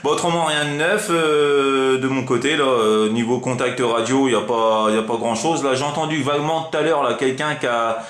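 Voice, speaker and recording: A man's voice: mid-range voice, fairly even intonation, normal speed, hardly any pauses, a bit bright. A man chatting casually as an amateur CB radio operator, rambling informally with frequent tag words. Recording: roomy, echoing room sound.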